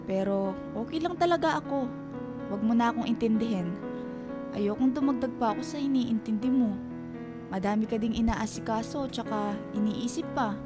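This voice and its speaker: A woman's voice: fairly high pitch, normal speed, pauses often, natural voice. Woman acting a tender Filipino mother, music behind. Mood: resigned, reassuring. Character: selfless, hard-up middle-aged salon-worker mother, tender. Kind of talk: Filipino radio drama acting.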